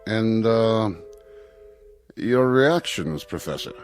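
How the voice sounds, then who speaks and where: Low-voiced, very expressive headmaster. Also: male voice, slow pace, soft background music. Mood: tactful, uneasy. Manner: formal, tactful, hesitant. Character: courteous, uneasy male headmaster breaking news gently. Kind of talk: period television drama acting.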